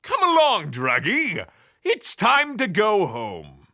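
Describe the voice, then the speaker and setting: An actor playing a genteel immortal who dotes on magnificent beasts, speaking in a polite, formal and effusive way. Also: male voice, sits high, huge pitch swings, takes it slowly, sharp tone, full character voice. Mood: fond, proud. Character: genteel, doting supernatural owner of magnificent beasts.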